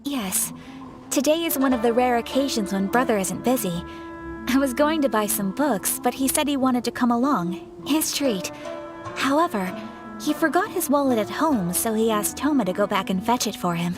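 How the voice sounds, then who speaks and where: Video game noble sister, female actor: young, refined, gentle, slightly high, music behind. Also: lively intonation, moderate pace, lightly acted. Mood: polite. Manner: polite, graceful. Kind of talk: video game character dialogue.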